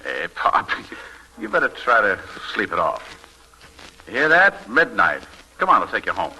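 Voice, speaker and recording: An actor playing an eager, flirtatious newspaper reporter in 1940s radio style, brisk and persistent. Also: male voice, lowish voice, wildly swooping pitch, sharp tone, full character voice. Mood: puzzled, friendly. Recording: noisy vintage 1940s radio recording.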